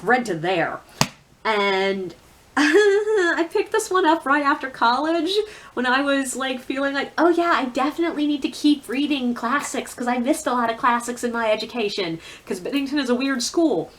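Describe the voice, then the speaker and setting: A woman's voice: high-pitched, expressive, moderate pace, a bit bright. A woman chatting informally and giving her opinions as a book reviewer in a vlog, with casual swearing.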